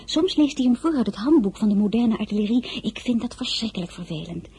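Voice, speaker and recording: A woman's voice: on the high side, lively intonation, fairly quick, mellow, slightly rough, heavily acted. An actress narrating as a young woman telling her own story in a confiding, wry way. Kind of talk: radio drama narration.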